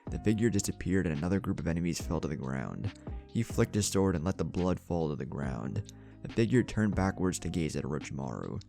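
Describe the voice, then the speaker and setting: A man's voice: low-pitched, lively intonation, brisk, creaky, warm tone, soft background music. A man narrating a scripted action story in a dramatic storytelling style.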